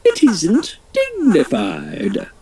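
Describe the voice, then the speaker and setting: A storybook reader voicing a fussy, excitable queen in a high, shrill, dramatic voice. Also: extremely slow, crowd noise, full character voice. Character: excitable bossy queen with a jetpack.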